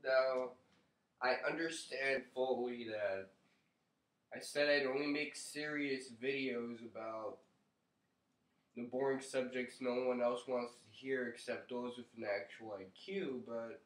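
A man's voice: mid-range voice, fairly even intonation, slow pace, fairly bright tone, echoey room, poor audio. A young man with a stuffy, nasal voice from a cold, talking loosely and with some swearing to a webcam in his living room. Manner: loose, profane, offhand. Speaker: vlogger. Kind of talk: casual commentary to camera.

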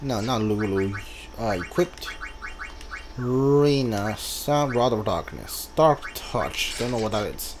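A man's voice: mid-range voice, expressive, slow pace, noisy background. A man giving casual gameplay commentary, muttering his moves aloud as he thinks them through. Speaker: gamer playing through a role-playing game. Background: game music.

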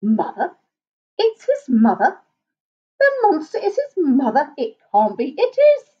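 Woman as excitable, high-pitched little animals. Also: unhurried, dull audio, exaggerated voice. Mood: amazed.

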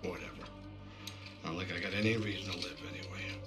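An actor playing a gruff, foul-mouthed, weary gangster underling in a video game, with slangy, casual speech. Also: male voice, sits low, fairly even intonation, brisk, music in the background, close to an everyday voice. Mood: sullen. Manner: slangy, double negatives, casual. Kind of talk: cinematic video game acting.

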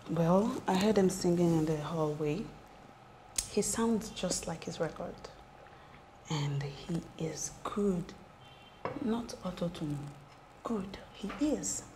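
A woman's voice: fairly low pitch, slow pace, pauses often, noisy background. A young woman with a Nigerian accent, acting a gossipy clinic client who teases her friend in a half-whisper. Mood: teasing. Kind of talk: film drama acting. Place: indoor room.

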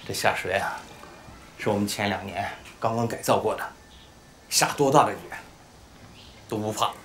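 Folksy, stubborn middle-aged villager. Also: male voice, on the low side, normal speed, a bit bright, a little hiss or hum. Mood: proud, easygoing.

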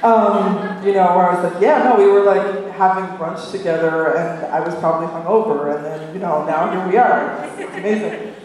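A woman's voice: on the low side, steady tone, normal speed, a little mellow. A woman writer on a panel, chatty and self-deprecating, speaking casually on an auditorium stage. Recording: echoey hall from far off.

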